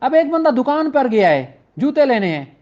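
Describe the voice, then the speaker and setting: High-voiced Pakistani Punjabi male lecturer, dull recording. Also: very animated, normal speed. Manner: conversational, poses rhetorical questions, switches into English legal terms. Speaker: law lecturer. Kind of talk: informal explanatory lecture with examples, reading out statute slowly.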